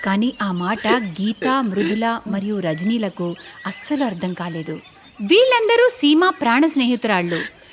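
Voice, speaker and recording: A woman's voice: medium pitch, big pitch swings, unhurried. A woman narrating a scripted cartoon story in a dramatic style. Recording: clearly noisy background.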